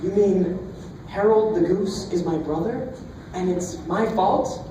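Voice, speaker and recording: Guy doing an earnest innocent young girl in a fairy tale, high voice, very echoey. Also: takes it slowly, mellow. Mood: earnest, upset. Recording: echoey, distant, noisy live recording.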